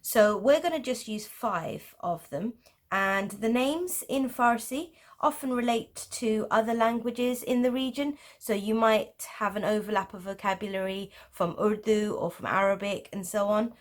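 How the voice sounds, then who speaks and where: A woman's voice: medium pitch, a little slow. A woman with a Pakistani English accent, patiently explaining geometric drawing in a tutorial. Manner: patient, explanatory, with light laughs. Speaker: art teacher.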